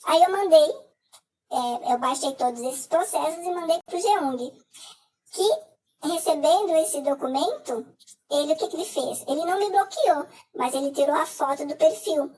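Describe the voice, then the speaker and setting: A middle-aged Brazilian woman with a Brazilian Portuguese accent gives a personal testimony as a scam victim, talking haltingly with many filler hesitations. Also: high-pitched, darkish tone, recorded from a distance.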